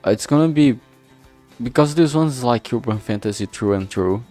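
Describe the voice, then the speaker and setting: A man's voice: mid-range voice, animated, normal speed, mellow, faint music behind. A man chatting casually on a work stream, thinking aloud hesitantly and often trailing off. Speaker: streamer making a visual novel.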